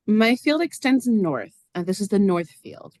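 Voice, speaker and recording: A woman's voice: mid-range voice, darkish tone, no echo, studio quality, exaggerated voice. A woman playing a plain-spoken, lonely, literal-minded farm neighbor in a stage reading, earnest and matter-of-fact. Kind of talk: stage reader's theater acting.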